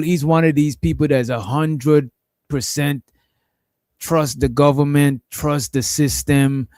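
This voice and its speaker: A man's voice: on the high side, creaky, darkish tone, no echo. A man hosting a casual stream, reacting to a clip in a laid-back, profane, rambling way.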